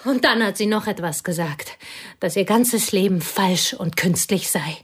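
An actress playing a bitter, lonely woman confiding old betrayals in a hushed, intense voice. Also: mid-range voice, unhurried, slightly rough. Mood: bitter confession. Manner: hushed, intense, often whispering. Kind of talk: radio play acting.

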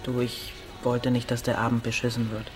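A man's voice: medium pitch, completely flat delivery, normal speed, music in the background, lightly acted. A man playing a cocky, touchy teenage village boy who is smitten and talks in casual slang in a radio drama. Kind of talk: naturalistic radio drama dialogue.

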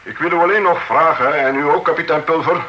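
An actor playing a presiding magistrate who questions witnesses in a measured, formal way. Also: male voice, fairly high pitch, dull audio, slight background noise, close to an everyday voice. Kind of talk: period radio drama acting.

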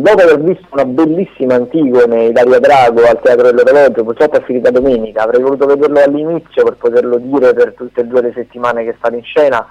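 A man chatting unscripted on the radio as he runs through theatre listings, halting and often correcting himself. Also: mid-range voice, warm tone, muffled recording. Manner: hesitant, halting, self-correcting. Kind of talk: unscripted radio chat, event rundown.

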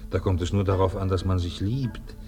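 A man's voice: sits low, fairly even intonation, brisk, dark tone, faint music behind, lightly acted. An actor in a radio play voicing a persuasive, deferential estate steward, pragmatic and matter-of-fact in his coaxing. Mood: coaxing, insistent.

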